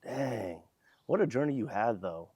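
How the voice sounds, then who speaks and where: A man's voice: medium pitch, animated, a little slow, darkish tone, breathy edge, no echo. A man hosting a casual podcast interview, asking rambling, laid-back questions full of slang and fillers. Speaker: podcast host.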